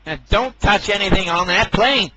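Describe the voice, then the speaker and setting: A man playing a bossy, blustering military commander who is quick to blame, in an amateur audio drama. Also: high-pitched, background noise, close to an everyday voice. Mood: commanding.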